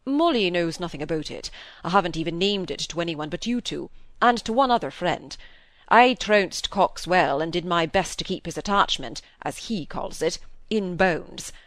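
Lady narrator doing a sensible gentleman in an old novel, middle-aged, dry, bit impatient, lively pitch. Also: moderate pace, no echo. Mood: dry, explaining.

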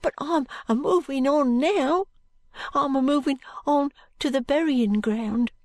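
Woman as a frightened, high-voiced Cockney street boy. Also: no echo. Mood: wretched, defensive. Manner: hushed, halting, uneducated speech. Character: frightened, ragged London street boy, wretched and wary.

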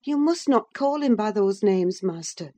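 A woman reading a story in the part of an earnest female servant, respectful but gently chiding. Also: mid-range voice, animated, measured pace, voice pushed up. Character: deferential but outspoken female household servant, earnest and protective. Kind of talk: dramatised audiobook reading.

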